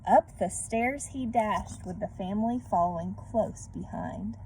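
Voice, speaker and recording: A woman reading a storybook aloud to children in a gentle manner, pausing to put questions to her young listeners. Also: mid-range voice. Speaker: read-aloud host for children. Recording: background noise.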